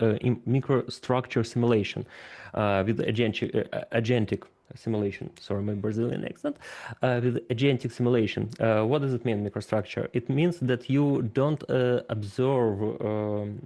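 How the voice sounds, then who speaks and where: A man's voice: lowish voice, warm tone. A man giving a technical conference talk, thinking aloud and explaining ideas step by step. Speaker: conference speaker. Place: event stage.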